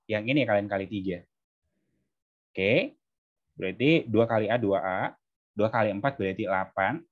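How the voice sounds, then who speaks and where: A male tutor with a fairly low voice explains things step by step in an informal way. He keeps turning to his students with short checking questions. The sound is somewhat dull and lo-fi. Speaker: tutor teaching exam maths.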